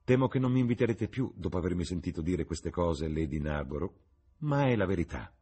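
A man voicing a suave, cynical gentleman who speaks in dry, measured epigrams, in an audiobook story. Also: deep voice, very animated, slightly rough, pitched above the performer's own voice, heavily acted. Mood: suave, ironic.

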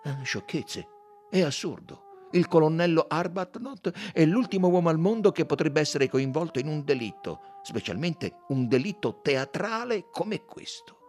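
A man's voice: a little high, animated, normal speed, a little mellow, voice pushed up, close to an everyday voice. A man voicing a composed, dismissive lady in a novel, with a cool manner.

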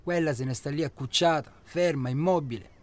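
An actor playing an impatient, rough guide of a sinister safari in a radio drama, speaking in a curt, pressing, colloquial way. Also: male voice, on the high side, a little fast, darkish tone, lightly acted. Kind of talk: naturalistic Italian radio drama acting.

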